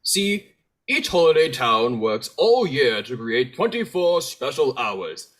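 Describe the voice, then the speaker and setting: A man playing Santa Claus as a theatrical storybook narrator, speaking in rhyme with a husky voice. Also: mid-range voice, sing-song, slow. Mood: storybook, grave.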